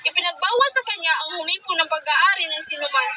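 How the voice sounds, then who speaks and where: A woman's voice: extremely high pitch, expressive, fast talker, recorded from a distance. A woman reading a scripted, dramatic story as the narrator of a puppet show. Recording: loud music behind the voice.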